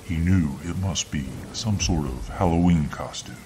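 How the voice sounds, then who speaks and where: A man narrating a scripted spooky audio story in a slow, deliberate way, with music behind him. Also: deep voice, noisy background. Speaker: ASMR storyteller. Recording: narration over music and rain sounds.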